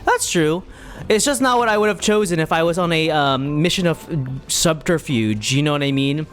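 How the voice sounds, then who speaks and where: High-voiced man chatting through a game. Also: expressive, normal speed, fairly bright tone, a little hiss or hum. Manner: playful, rambling, reacts to the game. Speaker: streamer playing a video game. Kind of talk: casual playthrough commentary.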